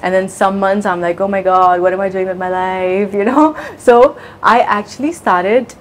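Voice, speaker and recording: A woman's voice: medium pitch, moderate pace, slight background noise. A young adult woman from New Zealand, chatting candidly in an interview with many fillers and quoted asides. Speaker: interview guest, content creator.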